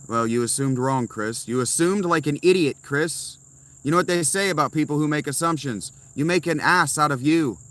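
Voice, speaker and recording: A man's voice: fairly high pitch, moderate pace, a bit bright. A man streaming live from inside a tent, answering chat messages with blunt, sarcastic replies. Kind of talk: casual livestream chat.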